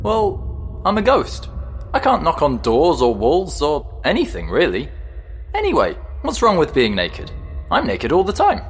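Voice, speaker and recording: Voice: medium pitch, wildly swooping pitch, unhurried, faint music behind. An actor playing a chatty, cheeky, guileless ghost in an audio drama.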